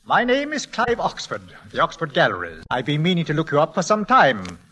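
Suave male art dealer, old radio drama, persistent, formal, lively pitch swings, rough-edged voice. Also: a little fast, heavily acted. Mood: ingratiating. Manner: smooth-talking, insistent, formal.

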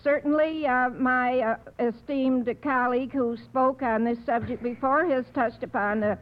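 A woman's voice: high-pitched, steady tone, measured pace. A woman panelist giving a mock political speech in a formal, oratorical manner. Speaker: quiz show panelist. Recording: dull old radio recording.

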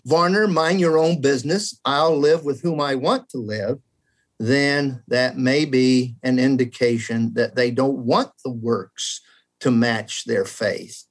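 A man's voice: mid-range voice, fairly even intonation, slow pace. Earnest older man in his seventies. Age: 70s. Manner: reflective, earnest, self-deprecating. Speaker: guest scholar. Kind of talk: conversational theology discussion.

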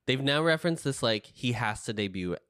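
A man's voice: medium pitch, animated, brisk, fairly bright tone, clean tone, dry recording. A man chats casually about a show he has just watched, making playful jokes and dramatic asides. His voice sits in a middle range. The recording is close and dry. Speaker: podcast co-host. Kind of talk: casual reaction chat.

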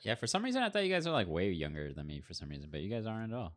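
Deep-voiced thirty-year-old man, laid-back. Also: sing-song, rapid-fire. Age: 30s. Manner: laid-back, slangy storytelling. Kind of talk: casual chat.

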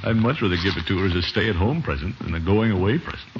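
An actor playing a pompous but soft-hearted middle-aged uncle in a 1950s radio comedy, blustering and hesitant by turns. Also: male voice, low-pitched, expressive, fast talker, music in the background. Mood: hesitant, worried. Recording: noisy, muffled old radio recording.

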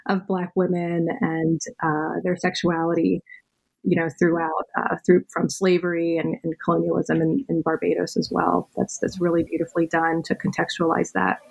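Husky-voiced woman interviewer. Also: on the low side, little pitch movement, moderate pace, a little mellow, low-quality recording. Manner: careful, hesitant, many restarts and fillers. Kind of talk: academic book interview.

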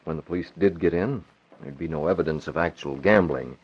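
A young, self-assured masked hero in a vintage radio serial. The voice is deep, calm and commanding. The recording has the dull tone of an old broadcast. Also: male voice, brisk, slightly rough, heavily acted. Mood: calm, determined. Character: confident young newspaper publisher, secretly a masked crime-fighter. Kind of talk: old-time radio drama acting.

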